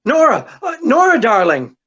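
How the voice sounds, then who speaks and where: A woman's voice: a little high, huge pitch swings, normal speed, a bit bright, breathy edge, muffled recording, full character voice. A woman acting a wisecracking, devoted husband and sleuth in 1940s radio style, with flustered banter. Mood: tender, teasing.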